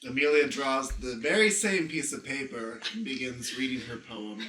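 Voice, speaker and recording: A man's voice: medium pitch, moderate pace, sharp tone, a little hiss or hum. A man narrating and hosting an improvised comedy radio play as its stage manager. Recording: echoey room, faint music.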